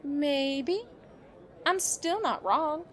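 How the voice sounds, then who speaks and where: A woman's voice: high-pitched, lively intonation, extremely slow, exaggerated voice. A woman playing a sassy, supportive young vigilante partner, frank and teasing, in an audio drama.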